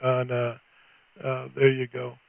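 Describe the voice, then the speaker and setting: A man's voice: mid-range voice, monotone, slow, creaky, dry recording, phone-quality sound. An older man chatting casually as an amateur radio operator, folksy and scattered, thinking aloud as he talks. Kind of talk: casual two-way radio chat.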